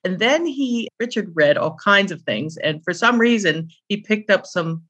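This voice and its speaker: A woman's voice: mid-range voice, animated, moderate pace, fairly bright tone, breathy edge, dull audio. A middle-aged or older woman speaking as an interview guest, reminiscing in an anecdotal, conversational way. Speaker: interview guest, author and publisher.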